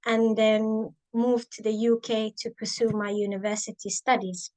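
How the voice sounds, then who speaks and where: A woman in her thirties, raised in the Emirates, telling her career story in an interview, thoughtful and pausing with many ums. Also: fairly high pitch, flat delivery, a little mellow, low-quality recording. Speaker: guest, biomedical scientist and author. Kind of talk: interview, reflective personal story.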